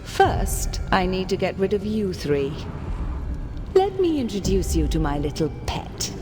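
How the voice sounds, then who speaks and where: A woman's voice: mid-range voice, wildly swooping pitch, measured pace, heavy background noise. An actress playing a haughty, sinister, scheming witch in a video game, with theatrical menace. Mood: coldly threatening. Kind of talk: video game character acting. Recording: game music behind.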